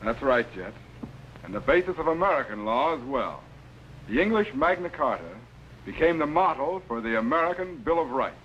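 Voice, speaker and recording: A man playing a brisk, authoritative scientist captain in 1950s television science fiction, instructing in a crisp and kindly way. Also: fairly high pitch, big pitch swings. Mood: instructive. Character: commanding, brisk male scientist captain of a time machine. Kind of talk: 1950s television sci-fi acting. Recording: slightly noisy old 1950s TV soundtrack.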